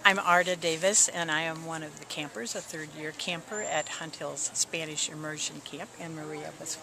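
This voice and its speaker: An adult camper answering interview questions in a thoughtful, measured way. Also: medium pitch, fairly even intonation, creaky, background noise.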